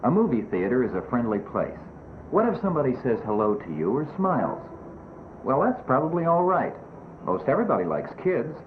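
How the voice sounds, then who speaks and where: A man's voice: medium pitch, very animated, a little fast, slight background noise. A man narrating a scripted educational film, admonishing children directly over background music on a muffled 1970s soundtrack.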